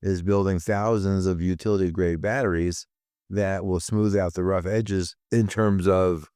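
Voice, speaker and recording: A man with a deep voice runs his weekly security news rundown, sounding a bit rough. His voice stays smooth and clear under it, in a dry, close recording. He talks bluntly, with dry asides and plenty of ums and uhs. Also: fairly even intonation, measured pace, darkish tone, no room sound. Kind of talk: solo news commentary.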